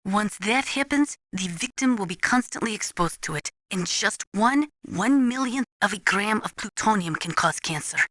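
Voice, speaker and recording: A woman playing an earnest nuclear weapons analyst in a video game, explaining things in a measured, precise, didactic way. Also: mid-range voice, expressive, fairly bright tone, dry recording. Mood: measured, informative. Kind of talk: scripted expository video game voice acting.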